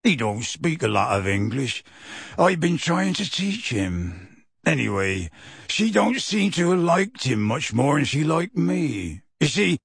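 A man voicing a huge, kindly half-giant for an audiobook, emotional and pleading, with halting, rustic speech. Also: fairly high pitch, very animated, gravelly, breathy edge, no echo, clean studio sound, exaggerated voice. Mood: anxious, confiding. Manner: earnest, halting, rustic dialect grammar. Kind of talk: audiobook character voice.

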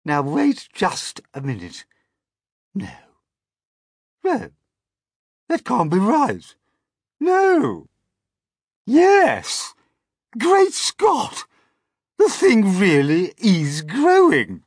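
Brief: bossy, greedy aunt for children's story, scornful bluster, hugely varied pitch, husky edge played by a man. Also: wildly swooping pitch, drawn-out words, long pauses, no room sound, clean studio sound, heavily acted. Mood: incredulous. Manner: blustering, exclamatory. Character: greedy, scoffing, bossy middle-aged aunt, a woman. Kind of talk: dramatic children's storybook character reading.

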